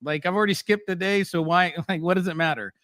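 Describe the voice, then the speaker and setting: Animated man hosting a live chat. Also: high voice, fast, no room sound, studio quality. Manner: animated, blunt storytelling with frequent fillers. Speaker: host. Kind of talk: casual live-stream chat.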